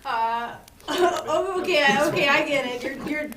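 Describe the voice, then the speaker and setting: A woman's voice: high voice, a little slow, echoey room, a crowd in the background. A woman reading a defensive, condescending male comedy star who placates his buddy, at a live table read. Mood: patronizing, placating.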